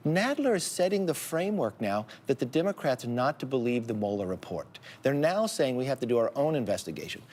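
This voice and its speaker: A man's voice: medium pitch, sing-song, brisk, hardly any pauses, darkish tone. A man with an American accent giving argumentative political commentary in an interview.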